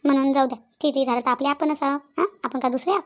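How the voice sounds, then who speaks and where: High-pitched, bossy Vidarbha village sister-in-law played by a woman. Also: fairly even intonation, fast talker, lightly acted. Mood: firm persuading. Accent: Vidarbha Marathi. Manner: insistent, repetitive scolding and coaxing. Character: middle-aged village sister-in-law, bossy, persuasive peacemaker. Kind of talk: cartoon family comedy dialogue.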